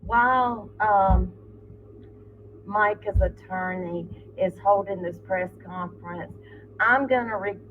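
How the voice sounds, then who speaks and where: A host with a hoarse voice, giving earnest commentary with many fillers. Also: medium pitch. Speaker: channel host. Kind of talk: casual commentary to camera. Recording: phone-like lo-fi with loud music behind.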